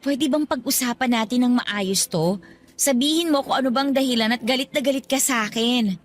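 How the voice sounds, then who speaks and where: A woman's voice: fairly high pitch, brisk, natural voice. A woman playing a defensive young office worker in a radio drama, pleading and indignant. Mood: defensive, confused. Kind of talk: Tagalog radio drama acting.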